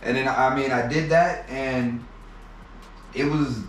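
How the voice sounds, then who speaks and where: A man's voice: mid-range voice, steady tone, slight background noise. Man telling his story in an echoey room. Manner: halting, many restarts and repeated words. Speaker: interview guest. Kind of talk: personal storytelling in conversation. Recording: echoey room, mic at a distance.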